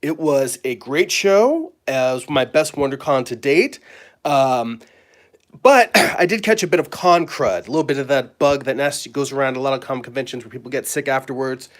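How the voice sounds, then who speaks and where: A middle-aged man, hoarse and raspy from a cold, clearing his throat as he chats casually with fillers while drawing at his table. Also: mid-range voice, expressive. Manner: rambling, friendly, halting with fillers. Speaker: comic artist and live-stream host. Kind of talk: casual live-stream chat. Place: home studio at a drawing table.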